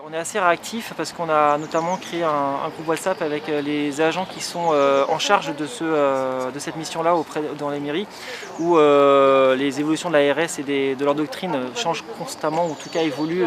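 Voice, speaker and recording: A man's voice: on the high side, steady tone, brisk, crowd noise. A man explaining how vaccine appointments are organised, hesitant and full of fillers. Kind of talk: interview answer.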